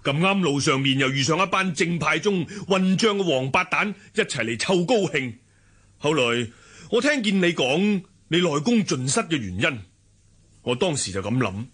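An actor playing a shrewd, loyal sworn elder brother in a martial-arts radio drama, hearty and forthright, with a Hong Kong Cantonese accent. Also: male voice, fairly high pitch, big pitch swings, moderate pace, a bit bright, breathy edge, exaggerated voice.

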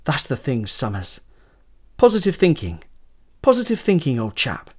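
An actor playing an earnest young Victorian sculptor in an audio drama, with theatrical, old-fashioned diction. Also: male voice, mid-range voice, wildly swooping pitch, moderate pace, breathy edge. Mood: buoyant. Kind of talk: period audio drama acting.

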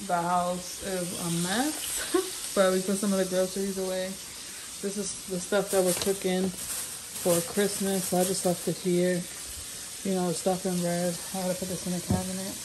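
A woman's voice: fairly low pitch, level tone, normal speed, background noise. A young woman vlogging casually to camera in an informal, slangy, rambling way. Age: young adult. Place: parked car, then home kitchen. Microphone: phone held at arm's length.

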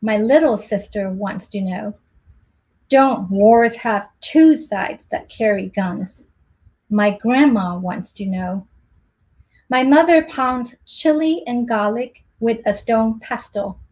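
A middle-aged woman from Myanmar on a video call from her home office, introducing and reading her poems in a measured, soft-spoken way. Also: on the high side. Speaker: poet.

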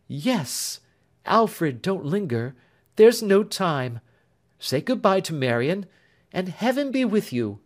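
A man doing a serene gentle young woman. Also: sits high, big pitch swings, slow, voice pushed up, full character voice. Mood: tender.

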